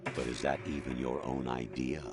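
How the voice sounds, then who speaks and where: An actor voicing a sinister, all-knowing AI that poses as a stern commander in a video game, lecturing with cold condescension. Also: male voice, rumbling low, level tone, moderate pace, background noise. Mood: scornful. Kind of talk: dramatic game cutscene voice acting. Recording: loud music under the voice. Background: loud music.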